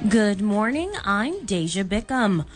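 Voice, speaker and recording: A woman's voice: medium pitch, big pitch swings, slow pace, over loud music. An American woman working as a radio news anchor, reading scripted bulletins.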